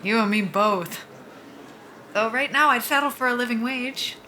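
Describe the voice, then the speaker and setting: A woman's voice: on the high side, moderate pace, bright, forceful tone. An actress playing a wry, down-on-her-luck young military pilot in a naturalistic audio drama. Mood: wry, weary.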